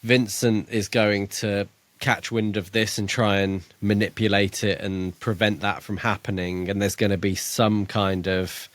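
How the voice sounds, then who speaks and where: A man's voice: lowish voice, level tone, a little slow, no room sound. A man co-hosting a podcast, casually discussing a book and thinking aloud in a tentative, hedging way. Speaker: podcast co-host.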